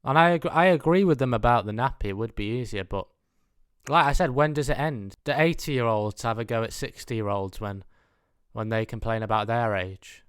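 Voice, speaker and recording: A man's voice: mid-range voice, moderate pace, clean tone, dry recording, studio quality. A man in his mid twenties with a British accent, giving a rambling, dryly mock-earnest comic monologue. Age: mid 20s. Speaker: comedian, host of own show. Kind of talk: comedic monologue.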